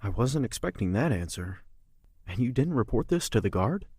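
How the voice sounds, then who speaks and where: A self-assured royal guard for an audio drama, teasing and flirtatious. His voice is deep and husky, with a dry, close sound. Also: sing-song, normal speed, darkish tone, no room sound. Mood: probing.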